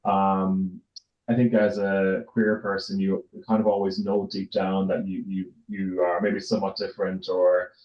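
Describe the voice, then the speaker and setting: A man's voice: sits low, flat delivery, measured pace. A middle-aged man with an Irish accent, answering casually as an interview guest. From Ireland, raised in County Tipperary. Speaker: interview guest, tennis tournament director. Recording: roomy echo, dull lo-fi sound.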